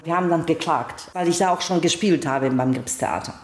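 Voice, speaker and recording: A woman's voice: sits low, fairly quick. A middle-aged woman from the former Yugoslavia, speaking German as a second language as an interview guest, telling stories and often restarting sentences in search of words. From Yugoslavia, grew up in Priboj. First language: Serbo-Croatian. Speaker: interview guest, actress and novelist. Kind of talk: conversational interview. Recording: roomy echo.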